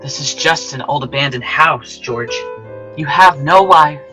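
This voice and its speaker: An actor playing an earnest, wistful male guardian angel in an old-time radio drama, reasoning gently in a folksy way. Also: fairly high pitch, unhurried, faint music behind, poor audio. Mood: earnest, gentle.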